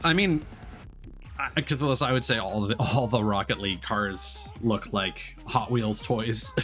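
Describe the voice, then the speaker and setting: A man's voice: lowish voice, a little slow, fairly bright tone. Casual male game streamer over game music. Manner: swears casually. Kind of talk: casual livestream gaming commentary. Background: game music and racing car sounds.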